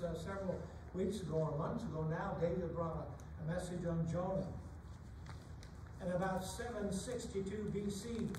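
Older man, church sermon, deliberate emphatic delivery, heavy room echo, clear background noise. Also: high-pitched. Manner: deliberate, emphatic, with pauses between phrases. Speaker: preacher. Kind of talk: sermon with scripture reading and rhetorical questions. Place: church sanctuary. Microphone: far camera from the pews. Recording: very echoey, noisy room, distant mic.

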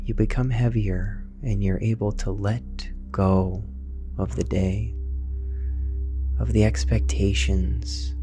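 A man guiding a sleep meditation, speaking slowly and softly and sometimes dropping to a whisper. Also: on the low side, flat delivery. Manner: slow, soft and soothing, drifting into whispers. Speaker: meditation guide. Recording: dull lo-fi voice over singing bowl music.

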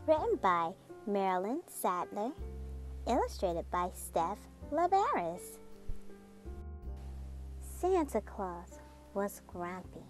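A woman's voice: mid-range voice, darkish tone. A woman reading a children's picture book aloud expressively. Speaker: children's book reader, channel host. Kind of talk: expressive storybook read-aloud. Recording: music behind the voice.

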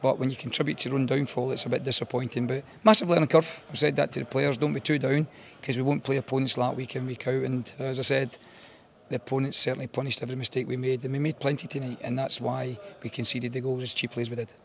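A man's voice: mid-range voice, little pitch movement, fast talker, gravelly. A Scottish man in his fifties, a football manager giving a post-match interview in an earnest, repetitive way. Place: bare indoor room with painted brick walls.

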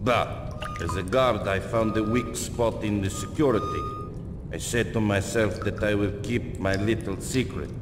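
A weary Russian ex-guard in a video game, talking slowly in halting, plainspoken English. His pitch swings widely and his voice rings with long reverb over music. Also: wildly swooping pitch, noisy background. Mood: weary, wary. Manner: slow, halting, broken English. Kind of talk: video game cutscene acting.

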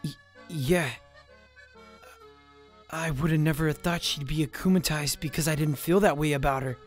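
A woman's voice: deep voice, normal speed, soft background music, lightly acted. A woman voicing a flustered, stammering teenage boy in a fan cartoon comic dub. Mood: uneasy. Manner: stammering, unsure.

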